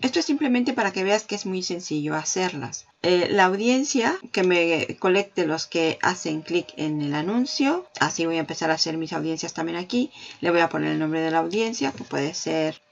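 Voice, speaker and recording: A woman hosting a marketing tutorial, walking the viewer through software on screen and talking straight to them. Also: lowish voice, animated, normal speed, vocal fry, hardly any pauses, dull audio. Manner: explanatory, addresses viewer directly. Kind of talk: screen-recorded software walkthrough.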